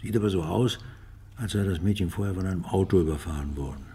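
Police inspector, deep and raspy, blunt, wary, gruff, radio crime drama. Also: male voice, quick pace, warm tone, full character voice. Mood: matter-of-fact. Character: gruff, skeptical male police homicide inspector.

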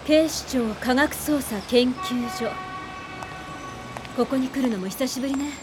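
A woman's voice: high voice, measured pace, background noise. An actress playing a cool, composed female criminal profiler in a radio drama. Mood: composed, dry.

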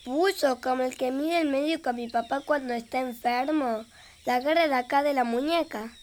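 A woman acts a naive young child in a children's radio drama, full of wide-eyed questions. The high voice has a Rioplatense Argentine accent and rings in a long reverb. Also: normal speed, darkish tone, a little hiss or hum, close to an everyday voice. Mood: puzzled. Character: curious, naive young child at bath time.

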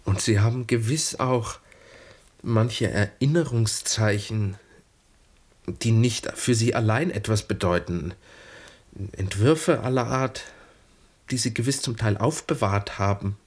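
An actor playing an earnest, wistful lieutenant of twenty-three in a 1960s German radio play, speaking formally and gently probing. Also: male voice, on the low side, slow, slightly rough. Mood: hesitant, pleading. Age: young adult.

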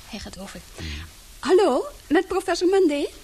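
An actress playing a helpful, brisk newspaper secretary in a Dutch radio play, with polite telephone formality. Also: female voice, extremely high pitch, sing-song, warm tone.

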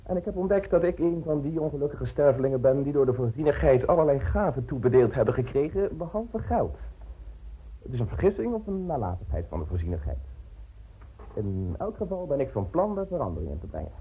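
A vain, penniless stage actor from an old radio play, full of flowery speeches and wounded pride, as a man acts him out. His voice is husky and mellow, and it rises and falls dramatically as he talks. He tends to lecture rather than chat. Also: fairly high pitch, very animated, a little fast, full character voice, poor audio. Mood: grandiloquent. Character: pompous out-of-work male stage actor, broke.

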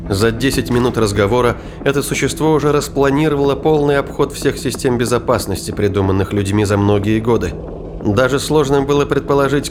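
A man's voice: mid-range voice, background noise. A man narrating a scripted science fiction audio drama, with music behind his voice.

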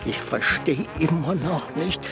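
High-voiced flustered old man. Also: measured pace, gravelly, music in the background. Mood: nervous. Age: 60s. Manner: flustered, stammering, whiny protesting. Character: timid, fussy old pensioner, reluctant sidekick. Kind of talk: radio crime drama acting.

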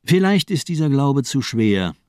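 Fanatical villain doctor, swooping declamation. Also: male voice, mid-range voice, sing-song, measured pace, a little mellow, dry recording, clean studio sound. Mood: taunting, insistent. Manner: declamatory, insistent, rhetorical. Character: fanatical, mocking villainous male doctor, zealously demanding. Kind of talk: radio drama acting.

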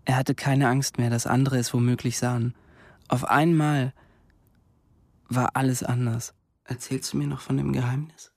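A man's voice: medium pitch, flat delivery, moderate pace, gravelly, no room sound, lightly acted. An actor playing an earnest, inquisitive young man in a radio drama, delivering terse short phrases. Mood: quiet, probing. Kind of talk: radio drama narration and dialogue.

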